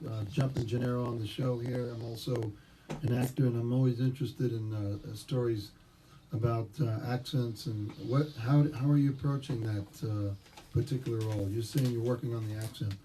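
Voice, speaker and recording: Hesitant co-host on a noisy distant mic. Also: male voice, medium pitch, steady tone, a little fast, mellow. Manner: hesitant, many uh fillers. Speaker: co-host and actor. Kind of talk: live-stream interview questions. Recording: distant mic with clear noise.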